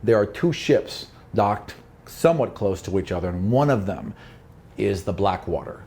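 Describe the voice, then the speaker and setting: A man's voice: lowish voice, animated, normal speed, creaky, a little mellow, slight background noise. A man working as game master, narrating a fantasy tabletop game in a casual, conversational and sometimes profane way.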